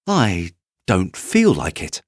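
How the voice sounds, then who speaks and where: A man's voice: low-pitched, wildly swooping pitch, slow pace, no echo, studio quality, heavily acted. A man voicing a shy but proud little male toy rabbit in a bedtime storybook, defensive and stubbornly insistent. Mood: reluctant.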